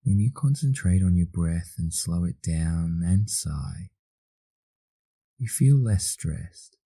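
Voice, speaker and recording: Australian man, meditation instructor. Deep, very soft dark tone, soothing delivery with long pauses. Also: animated, breathy edge, no room sound. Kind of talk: guided meditation narration.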